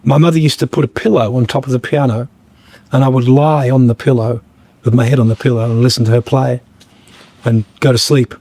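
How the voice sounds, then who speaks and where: Man, slightly croaky, mellow tone, dry close sound, reflective guest who stops and restarts a lot. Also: mid-range voice, fairly even intonation, no echo. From Australia. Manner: halting, many fillers and restarts. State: a bit croaky. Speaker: guest musician. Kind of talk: reflective podcast conversation.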